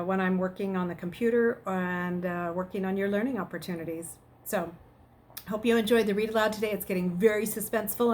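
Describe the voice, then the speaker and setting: A middle-aged woman, a teacher, reading aloud and speaking to her students in an expressive storytelling manner. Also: mid-range voice, slight background noise. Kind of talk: expressive narration of a children's novel and chat to students.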